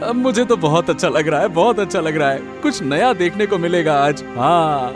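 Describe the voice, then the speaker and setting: A man voicing a humble, excitable vegetable seller in an animated moral story, eager and wondering, often repeating himself. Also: on the high side, moderate pace, over music, lightly acted.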